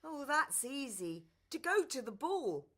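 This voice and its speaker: A woman's voice: sits high, sing-song, unhurried, a bit bright, full character voice. A woman voicing a kind, wistful young servant girl in a children's radio play, polite and earnest. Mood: polite, curious.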